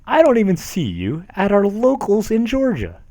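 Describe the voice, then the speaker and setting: Streamer, male, lively and mocking, dry close mic. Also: high-pitched, normal speed, no echo. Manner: mocking, animated, laughs often. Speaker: streamer reading forum posts aloud. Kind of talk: casual dramatic reading with commentary.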